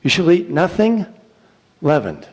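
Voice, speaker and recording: A man giving a solemn, declamatory dramatic reading of scripture in deliberate phrases. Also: fairly high pitch, sing-song. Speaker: church reader reciting scripture. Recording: echoey hall with long reverb.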